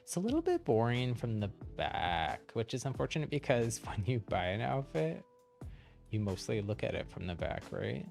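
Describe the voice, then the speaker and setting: A man hosting a game livestream, explaining things casually in a rambling, easygoing way with a gravelly voice. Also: mid-range voice, wildly swooping pitch, normal speed, darkish tone, faint music behind.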